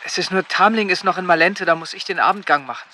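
An actor playing an awkward, polite seventeen-year-old farmhand in a radio drama, stammering with embarrassment. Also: male voice, high voice, fast, pushed and bright, slight background noise. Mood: embarrassed, polite.

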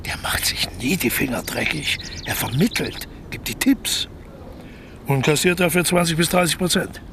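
Creaky-voiced scheming small-time crook. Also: male voice, a little high, animated, normal speed, fairly bright tone, slight background noise. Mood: coolly confident. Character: scheming, embittered ex-convict man plotting a lucrative burglary. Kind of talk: naturalistic German radio play dialogue.

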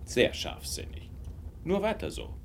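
An actor playing a smug, brilliant detective in a radio drama, with an ironic, drawn-out delivery. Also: male voice, a little high, wildly swooping pitch, vocal fry, a little hiss or hum, heavily acted. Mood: smugly amused. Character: brilliant male detective, smug, theatrical and condescending.

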